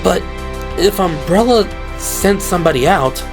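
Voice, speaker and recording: A man's voice: high voice, expressive, unhurried, voice pushed up. A man performs a nervous police pilot for an audio drama. The voice is raised, scoffing and defensive, easily flustered, with music playing behind it. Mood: doubtful. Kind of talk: audio drama character acting.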